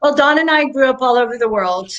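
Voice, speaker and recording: A woman's voice: high voice, lively intonation, fast, a bit bright, lo-fi sound. A woman who grew up in Japan, a comic writer speaking in an interview, thoughtful and explaining with frequent ums. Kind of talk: casual interview.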